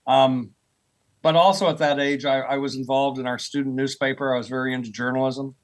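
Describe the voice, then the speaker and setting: A man's voice: mid-range voice, steady tone, fairly quick. American man in his sixties, interview guest. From United States, rural Oklahoma. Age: 60s. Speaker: guest, earth sciences professor. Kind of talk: conversational interview answers.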